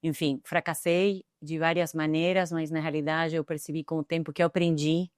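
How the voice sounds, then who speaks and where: A middle-aged woman with an Argentine accent, speaking as a guest in an interview with a smooth, resonant voice pitched fairly low for a woman. She answers thoughtfully and often pauses on hesitant fillers. The recording is close and dry. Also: little pitch movement, no echo. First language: Spanish. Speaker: guest, tech executive.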